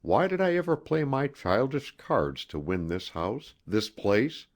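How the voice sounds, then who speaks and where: A man narrating a first-person literary story as an audiobook, with deliberate, theatrical, expressive phrasing. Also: mid-range voice.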